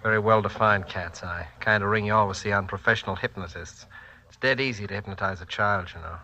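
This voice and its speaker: A man's voice: fairly low pitch, quick pace, sharp tone, poor audio. An actor playing a blunt, hot-tempered and protective family man in a dramatic 1940s radio suspense style. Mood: wary, firm. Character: hot-tempered, protective husband and father narrating his ordeal. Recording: old 1940s radio recording.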